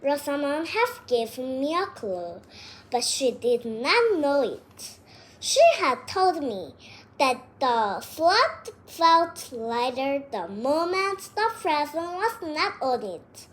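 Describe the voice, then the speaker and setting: A child reading a detective story aloud word by word, with halting breaks between phrases. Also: high voice, fairly bright tone.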